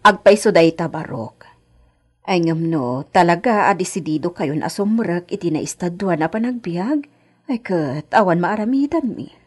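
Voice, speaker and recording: An actress with a Filipino Ilocano accent playing a gentle, resigned village mother in a radio drama, with a husky voice. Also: fairly low pitch, lively intonation, moderate pace. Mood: resigned, accepting.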